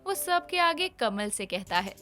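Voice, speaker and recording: A woman's voice: on the high side, sing-song, measured pace, over music. A woman narrating a scripted story as its narrator.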